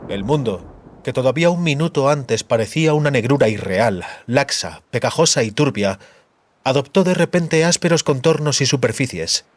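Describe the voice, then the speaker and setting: A man narrating fiction as a dramatized audiobook, with deliberate, dramatic phrasing. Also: mid-range voice, lively intonation.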